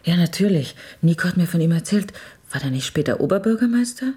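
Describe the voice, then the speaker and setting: A woman's voice: lowish voice, animated, fast talker, warm tone, close to an everyday voice. An actress playing an inquisitive, resourceful woman in a radio drama, in a natural conversational manner. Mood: curious. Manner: questioning, conversational. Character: inquisitive, resourceful woman, friendly companion to an old lady.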